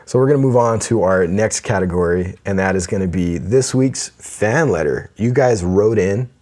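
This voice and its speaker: Very deep-voiced male podcast co-host. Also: normal speed, a little mellow. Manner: enthusiastic, laughs often, reads listener letters aloud. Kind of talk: casual podcast chat.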